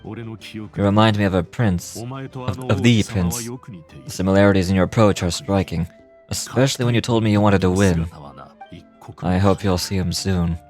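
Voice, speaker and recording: A man performs a commanding game captain, solemn and honorable. His speech is formal and measured, with music clearly heard behind it. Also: deep voice, a little mellow, natural voice. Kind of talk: video game voice acting.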